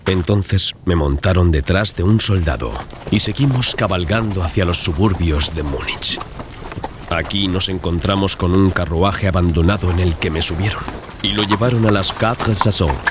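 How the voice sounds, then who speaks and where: A man's voice: deep voice, normal speed, background noise. A man reading a scripted first-person story as audiobook narration. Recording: music behind the voice. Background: clear background music.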